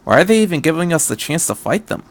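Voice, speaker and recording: A man's voice: fairly high pitch, very animated, quick pace. A man voicing an anxious teenage boy pilot in a story, hesitant and incredulous as he questions. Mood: hesitant, puzzled.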